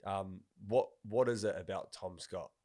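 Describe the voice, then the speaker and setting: A man's voice: sits low, a little slow, warm tone. A man with a New Zealand accent hosting a casual chat, informal, agreeable and slangy in his talk. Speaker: podcast host.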